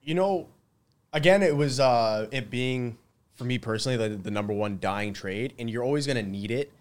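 A man's voice: medium pitch, moderate pace. A man in his twenties, a guest mason, chatting casually with lots of fillers and frequent laughs. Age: 20s. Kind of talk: casual interview chat.

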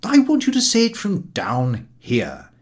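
A man voicing a painstaking, fussy gentleman language teacher in an audiobook, emphatic and exacting. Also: high-pitched, huge pitch swings, unhurried, voice pushed up, full character voice. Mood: exasperated, insistent.

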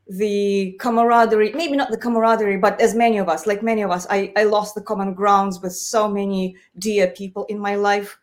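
A woman from Russia, a guest on a podcast, talking and laughing easily. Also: medium pitch. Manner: warm, talkative, laughs easily. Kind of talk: podcast conversation.

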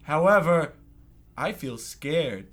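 A man's voice: fairly high pitch, lively intonation, takes it slowly, a bit bright, heavily acted. A man playing an earnest, timid boy who speaks in a hesitant, stilted way in a comic radio play. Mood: uneasy.

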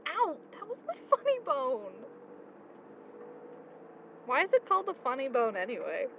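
A high-pitched, swooping voice of a headstrong young woman played by an actress in an audio drama. She is insistent and sarcastic, with desperation underneath. The recording is muffled, with heavy vehicle noise around her. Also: wildly swooping pitch, normal speed, creaky, heavily acted. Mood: wry, casual.

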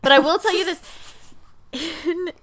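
A woman's voice: very high-pitched, normal speed, a bit bright. A woman co-hosting a podcast in casual chat, self-mocking and laughing often. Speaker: podcast co-host. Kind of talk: casual chat about movies and shows.

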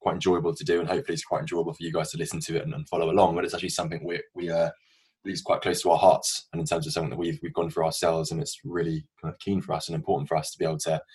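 A man's voice: sits low, husky. A man in his twenties with a southern English accent, co-hosting a podcast with chatty, sweary, self-deprecating storytelling. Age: 20s. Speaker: podcast co-host. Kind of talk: casual anecdote telling between friends.

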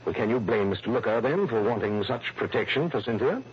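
A man's voice: medium pitch, lively intonation, brisk, close to an everyday voice. Guy doing fussy nervous insurance boss, old radio show, very up and down voice, muffled with some hiss. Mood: formal, insistent. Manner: formal, precise, flustered. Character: fussy, anxious male insurance office manager. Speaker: actor in a radio drama. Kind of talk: early 1960s radio drama acting.

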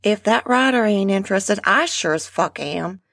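A woman's voice: medium pitch, animated, moderate pace, no room sound, clean studio sound. A woman voicing a brash, flirty woman in an audience, speaking boldly and boastfully. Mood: brazen. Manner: bold, boastful and loud.

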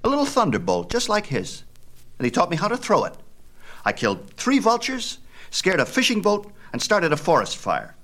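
A man's voice: sits high, huge pitch swings, normal speed, fairly bright tone, pitched above the performer's own voice, exaggerated voice. A man voicing a boastful, taunting young boy, cocky and scornful, in a mythology storybook. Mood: boasting.

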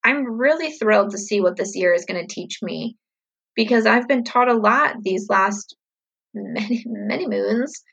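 A woman's voice: medium pitch, a little slow, creaky, fairly bright tone, slightly rough. A woman in her thirties answering viewer questions in a casual, upbeat, reflective chat full of fillers. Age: 30s.

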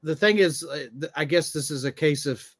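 A man's voice: a little high, brisk, no echo, studio quality. A man in his thirties, a comedian and podcast co-host, telling things in a casual conversational way. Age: 30s.

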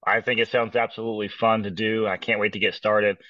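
A man's voice: on the low side, flat delivery, fairly quick, bright, forceful tone, no echo, highs cut off. Stuffy-nosed male podcast host, distant. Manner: hesitant, repeats words while thinking. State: has a cold, slightly nasal. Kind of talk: casual podcast chat.

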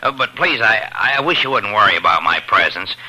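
An actor playing a polite, hesitant and self-effacing family guest in a 1950s radio drama. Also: male voice, on the low side, animated, fairly quick, sharp tone, husky, heavily acted, low-quality recording. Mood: uneasy. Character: polite, awkward, apologetic male family guest. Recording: vintage 1950 radio broadcast.

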